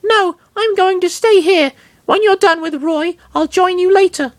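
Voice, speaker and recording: A proud, solemn beast-warrior lectures in a strained falsetto that sits far above a man's normal range. He speaks in stiff, broken phrases with grave self-importance. The recording is dry and close. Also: a little slow, no room sound. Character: proud, solemn male jackal-like fighter creature. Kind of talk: comic dramatic reading of fan fiction.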